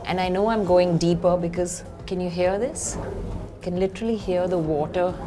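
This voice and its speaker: A woman's voice: lowish voice, fairly even intonation, normal speed, background noise. A middle-aged woman presenting a travel and driving show, describing sights and car features with enthusiasm. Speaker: motoring journalist and travel host. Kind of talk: travel vlog narration and on-camera commentary. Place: underwater observatory, then inside a car. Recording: voice with loud background music.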